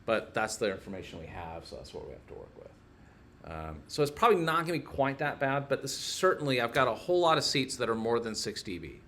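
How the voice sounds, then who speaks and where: A man's voice: mid-range voice, big pitch swings, quick pace, bright, forceful tone. Male teacher thinking aloud, noisy background. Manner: informal, thinking aloud step by step. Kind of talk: casual hands-on technical lesson, working through steps aloud.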